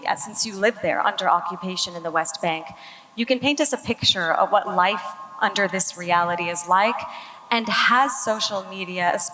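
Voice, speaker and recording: A woman moderating a town hall discussion, articulate and bright. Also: medium pitch. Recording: hall reverb.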